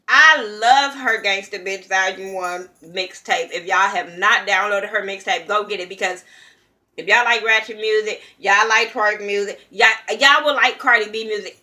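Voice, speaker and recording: A young woman from California talks straight to her viewers in a blunt, heated rant full of cursing. Her voice has a bright, sharp edge and sits at an ordinary middle pitch for a woman. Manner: blunt, profane, heated ranting. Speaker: reality TV reviewer. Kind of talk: casual opinion commentary.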